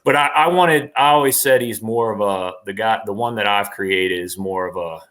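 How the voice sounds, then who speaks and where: A man's voice: on the low side, moderate pace, fairly bright tone. A man talking casually as an interview guest, rambling and enthusiastic in a slangy way.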